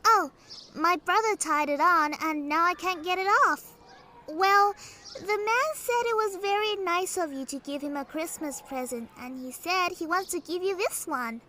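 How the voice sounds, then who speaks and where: A woman's voice: very high voice, moderate pace, pushed and bright, a little hiss or hum. A woman playing a chirpy, matter-of-fact small child in an old-time radio drama.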